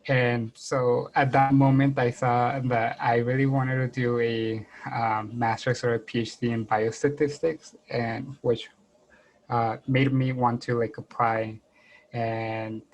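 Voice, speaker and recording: A man's voice: medium pitch, little pitch movement, slow pace, poor audio. A young man, a doctoral student on a panel, telling his path hesitantly with many fillers, heard over a video call. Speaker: biostatistics PhD student panelist. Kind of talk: panel talk, personal story.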